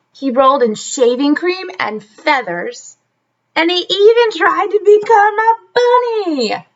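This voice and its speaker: A woman's voice: high-pitched, a bit bright, muffled recording. A woman reading a children's story aloud expressively, like a teacher, adding chatty asides straight to her young listeners.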